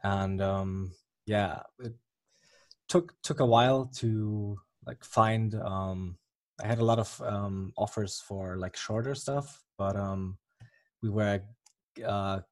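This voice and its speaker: A man's voice: on the low side, steady tone, slow pace, a little mellow, no room sound. A young man from Germany with a German accent, a comic artist answering interview questions in a hesitant way, with many ums and restarts.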